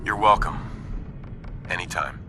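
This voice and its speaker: An actor playing a calm survivor hero in a video game, speaking in terse, plain phrases. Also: male voice, sits low, measured pace, noisy background. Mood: agreeable. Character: calm, agreeable male wasteland survivor hero.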